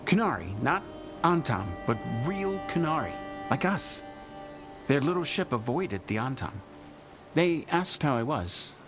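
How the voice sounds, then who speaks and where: An actor playing a gentle Qunari man, speaking in a measured, formal way. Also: on the high side, big pitch swings. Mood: calm. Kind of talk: video game cutscene dialogue. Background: music.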